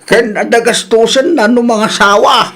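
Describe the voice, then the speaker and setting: A man's voice: extremely high pitch, fairly even intonation, unhurried, fairly bright tone, exaggerated voice. A man acting a genial middle-aged uncle in a radio comedy drama, with an Ilocano accent and a folksy manner. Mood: cheerful. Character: genial older Ilocano uncle and father, fond of matchmaking.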